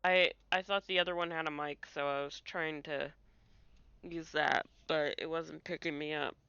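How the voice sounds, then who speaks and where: A woman's voice: low-pitched, fairly even intonation, normal speed, gravelly, bright, forceful tone, clean tone, no room sound, muffled recording. A woman streamer who just woke up, chatting casually over gameplay on a headset, apologetic and trailing off. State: just woken up.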